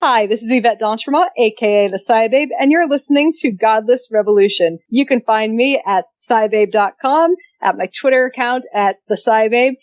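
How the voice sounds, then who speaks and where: A woman's voice: fairly high pitch, moderate pace, hardly any pauses, dry recording, dull audio. A woman reading a playful scripted promo for the show. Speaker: guest promo reader.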